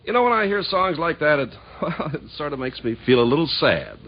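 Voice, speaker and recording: Lively, husky American radio announcer. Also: male voice, on the high side, a little fast. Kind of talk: scripted radio banter. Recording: muffled vintage radio recording.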